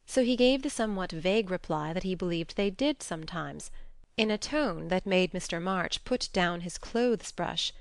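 A woman's voice: on the low side, lively intonation, normal speed, vocal fry, dry recording, studio quality. A woman reading scripted storybook narration as an audiobook narrator.

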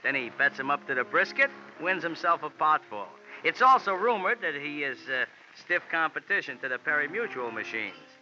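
A man playing an excitable, slangy little racetrack horseman in 1940s radio drama, earnest and colorful in his talk. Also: fairly high pitch, normal speed, few pauses, a little hiss or hum, heavily acted. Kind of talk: 1940s radio character acting. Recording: dull vintage radio recording, faint music.

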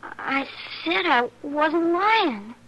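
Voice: high voice, animated, drawn-out words, dull audio, noisy background, heavily acted. An actor playing a stubborn, hurt young farm boy in a radio western, with a rural American accent and terse country speech. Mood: sullen, defiant. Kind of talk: old-time radio western acting.

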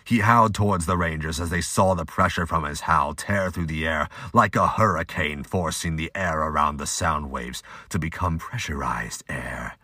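A man's voice: rumbling low, moderate pace, few pauses, sharp tone, no room sound. A man narrating an action audio drama in a dramatic scripted style.

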